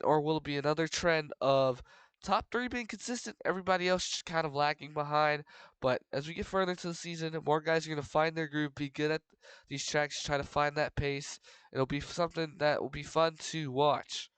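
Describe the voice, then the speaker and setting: Young man, congested from a cold, race preview commentary, somewhat high voice, very smooth and clear, dry close sound. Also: fairly even intonation, fairly quick, a bit bright, no echo. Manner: rambling, filler-heavy, frequent restarts. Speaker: race commentator for a sim racing league.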